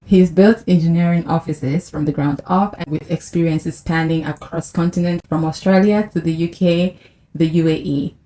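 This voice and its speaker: A woman's voice: fairly low pitch, fairly even intonation, normal speed, dark tone, low-quality recording. A woman hosting a podcast interview, asking questions and often breaking in with short affirmations. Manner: encouraging, with frequent short affirmations. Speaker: podcast host.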